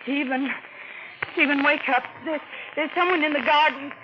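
An actress playing a terrified, fragile young wife in 1940s radio drama, breathless and melodramatic. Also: female voice, high voice, steady tone, normal speed, background noise, lightly acted. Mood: uneasy alarm. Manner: breathless, melodramatic, haunted self-questioning. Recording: muffled old radio broadcast with music.

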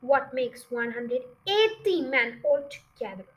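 A gloating, bossy farmer from a children's storybook, played by a woman reader. The voice stays very high and unhurried while taunting and scheming. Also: lively intonation. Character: gloating, scheming male farmer hunting a fox.

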